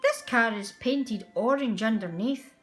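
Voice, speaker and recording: A woman's voice: on the high side, measured pace, faint music behind, lightly acted. A woman voicing a kindly male car mechanic, regretful and matter-of-fact, in a children's storybook. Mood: puzzled.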